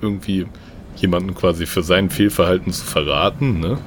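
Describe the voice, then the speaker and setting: A man's voice: low voice, steady tone, brisk, a little hiss or hum. A man co-hosting a playful improvised audio story, chatting casually with his partner. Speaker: podcast co-host.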